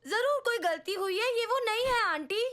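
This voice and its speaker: A very high, childlike voice of an excitable little cartoon boy. He pleads earnestly, with a soft spot for animals. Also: normal speed. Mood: pleading. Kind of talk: animated children's comedy dub.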